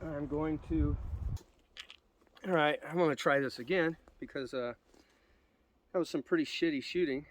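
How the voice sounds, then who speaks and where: A man's voice: a little high, fairly even intonation, normal speed, pauses often, slight background noise. A man with a cold, sniffly, giving a rambling casual review of an air rifle outdoors, with many ums and restarts. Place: outdoors at a shooting spot.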